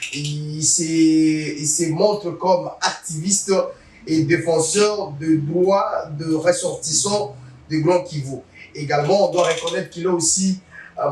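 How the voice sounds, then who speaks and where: A man hosting a TV interview, pausing often with fillers and addressing viewers formally. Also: a little high, a little mellow, recorded from a distance. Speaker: talk show host. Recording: roomy sound from off-mic.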